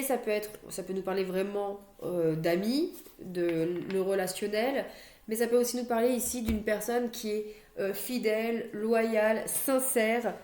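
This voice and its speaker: A woman's voice: a little high, reverberant, a little hiss or hum. A young woman with a cold doing a chatty tarot card reading at home, with fillers and restarts, recorded on a computer mic. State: has a cold, coughing. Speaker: tarot reader. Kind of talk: monthly astrology card reading. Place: home, near a stairwell.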